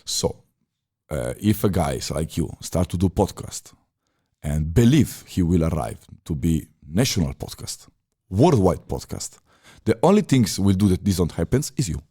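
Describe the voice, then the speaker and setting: A deep, mellow-voiced Italian man in his thirties talks as a podcast guest. His English is halting and filled with pauses and restarts, but he speaks firmly. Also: big pitch swings, slightly rough. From Italy. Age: 30s. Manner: halting non-native phrasing with many fillers and restarts. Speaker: podcast guest, former chef. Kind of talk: casual interview talk.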